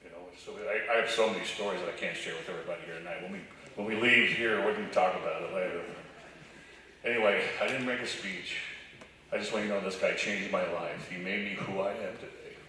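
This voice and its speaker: A middle-aged man from Indiana giving an unscripted eulogy at a memorial, speaking conversationally and haltingly, often repeating himself. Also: low voice, fairly even intonation, sharp tone. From Indiana, United States. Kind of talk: unscripted personal tribute. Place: large echoey room at a high school. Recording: echoey hall, distant mic, faint noise.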